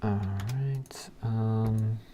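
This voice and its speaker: A man live-streaming as a programmer, thinking aloud in a halting mutter as he works, half talking to himself. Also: lowish voice, steady tone. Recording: keyboard clicks and background noise. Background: computer keyboard typing and clicking.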